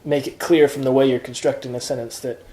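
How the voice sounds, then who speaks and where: Mellow-voiced man tutoring Greek. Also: medium pitch, steady tone, fast talker, echoey room. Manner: patient, explains step by step with examples. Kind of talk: informal one-on-one lesson.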